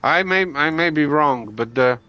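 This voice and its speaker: A man from Latvia with a Latvian accent, streaming a video game and chatting casually with viewers, halting with many restarts and fillers. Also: a little high, animated, sharp tone, clean tone. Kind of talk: casual gameplay chat with viewers. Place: home gaming setup.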